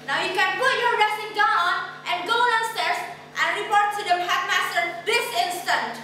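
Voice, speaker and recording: A teenage girl playing a bossy, severe young matron in a school readers theater, sharp and accusing. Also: extremely high pitch, moderate pace. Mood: angry. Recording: echoey classroom, distant mic, loud noise.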